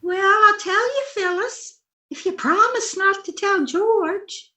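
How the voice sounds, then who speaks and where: A dizzy, sweetly illogical wife from a 1940s radio comedy. Her voice is extremely high and bright, and she chatters on innocently and earnestly at an unhurried pace. The sound is roomy and echoey. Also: heavily acted. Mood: exasperated, confiding.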